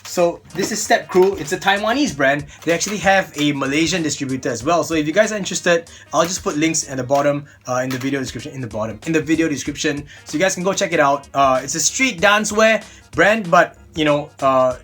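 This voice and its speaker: A man's voice: fairly high pitch, lively intonation, fast, fairly bright tone, faint music behind. A young man, hosting a casual product review vlog, chatty and upbeat with lots of repeated "really, really", filmed seated at a desk in a small room. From Singapore. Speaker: host of a toy blaster review channel.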